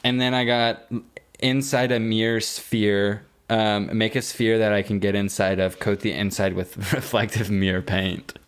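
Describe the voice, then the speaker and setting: A man's voice: lowish voice, flat delivery, a little slow, clear, resonant tone. A man with an American accent chatting casually as a comedic podcast co-host, emphatic, slangy and sweary.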